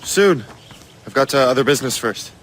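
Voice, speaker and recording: A man's voice: medium pitch, lively intonation, moderate pace, a bit bright, a little hiss or hum, close to an everyday voice. Man acting a cocky young sailor. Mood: casual. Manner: breezy, cheeky. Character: cocky, easygoing young male sailor-assassin. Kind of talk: video game cutscene acting.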